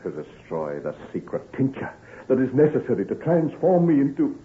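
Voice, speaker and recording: An actor playing a guilt-ridden, weary gentleman scientist, halting and agonised, in melodramatic old-time radio style. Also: mid-range voice, big pitch swings, heavy background noise, close to an everyday voice. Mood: anguished, despairing. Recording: tinny, band-limited vintage radio recording.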